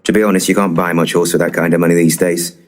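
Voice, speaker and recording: An actor playing a pompous, eccentric paranormal investigator, formal and deadpan, in a comic audio play. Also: male voice, sits low, quick pace, a little hiss or hum, natural voice. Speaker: actor in an audio comedy drama. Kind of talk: audio play dialogue, dry comedy.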